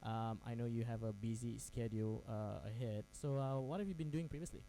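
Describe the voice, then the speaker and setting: A man's voice: mid-range voice, steady tone, brisk, creaky, mellow, a little hiss or hum, low-quality recording. A man hosting a campus radio interview, chatty, laughing often, with lots of fillers and restarts. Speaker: radio host.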